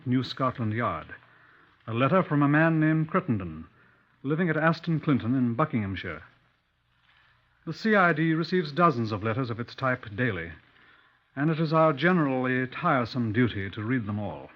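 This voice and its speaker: A British man playing a composed, probing police inspector in a 1950s radio drama, speaking formally and with measured care. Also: medium pitch, lightly acted. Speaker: actor in a radio crime drama. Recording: dull, muffled 1950s radio recording.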